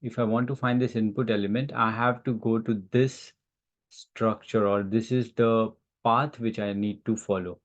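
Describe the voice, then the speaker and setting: A man with a fairly low voice leads an informal live software lesson. He talks haltingly, thinking aloud while he demonstrates on screen. The call audio is dull and lo-fi. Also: steady tone, no echo. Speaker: instructor. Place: online class call with screen sharing.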